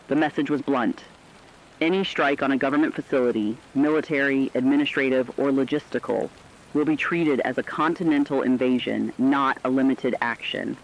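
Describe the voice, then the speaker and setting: Guy playing a serious radio war reporter, mid-pitched, clipped dramatic lines, kinda muffled lo-fi sound. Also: slight background noise, lightly acted. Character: sober male field correspondent reporting a looming war. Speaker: radio correspondent. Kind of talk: scripted emergency radio news report.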